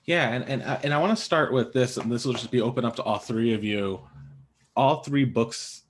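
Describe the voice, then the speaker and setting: A man's voice: medium pitch, normal speed, a little hiss or hum. A man hosting a book panel on a video call, asking questions conversationally and rephrasing as he goes. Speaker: panel host.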